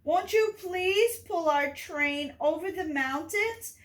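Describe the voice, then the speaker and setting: A woman's voice: very high voice, slow, roomy sound, distant mic, pitched above the performer's own voice. A woman voicing a chorus of dolls and toys who plead for help.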